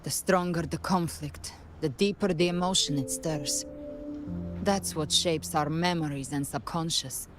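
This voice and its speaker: A resolute, analytical woman from a video game. Her voice is very low and she explains things with serious composure. There is clear music in the background. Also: measured pace. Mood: grave, resolute. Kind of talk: dramatic video game voice acting.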